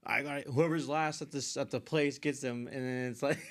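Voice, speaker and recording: A man with an everyday, mid-pitched voice talks as a guest on a podcast. He tells personal stories casually, in slangy, loosely strung sentences that wander as they go. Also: fairly even intonation, brisk. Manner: informal, slangy, meandering.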